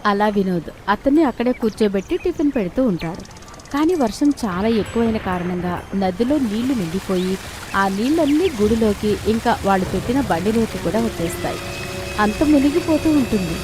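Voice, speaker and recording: A woman's voice: medium pitch, noisy background. A woman storyteller giving scripted narration for a children's cartoon tale.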